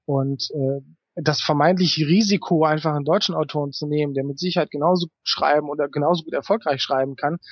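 A man's voice: on the high side, fairly even intonation, fast talker, no echo, studio quality. A man giving casual interview answers as an author, thoughtful and explanatory, feeling his way through his phrasing.

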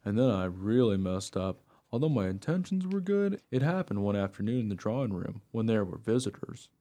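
A man's voice: fairly low pitch, big pitch swings, a little fast, warm tone. A man playing an eager, naive mongrel dog who tells his own story in a radio play. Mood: rueful. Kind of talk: first-person radio play narration.

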